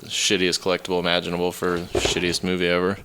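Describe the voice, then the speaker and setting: A man's voice: deep voice, monotone, quick pace, background noise. A man co-hosting a podcast with casual banter and a blunt, irreverent manner. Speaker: podcast co-host.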